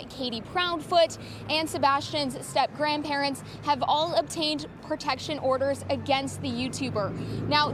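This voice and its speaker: Female TV reporter, high voice, scripted field report, slight outdoor traffic noise. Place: outdoors outside a courthouse.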